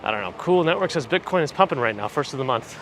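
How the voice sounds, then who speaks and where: A man hosting a live walking stream, chatting casually and reading viewer comments with dry asides; his voice is a little phlegmy and gravelly. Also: on the high side, animated, fast talker, a bit bright. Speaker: livestream host. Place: walking outdoors on city streets. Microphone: handheld gimbal camera rig with recorder. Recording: street noise behind the voice. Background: traffic and city ambience.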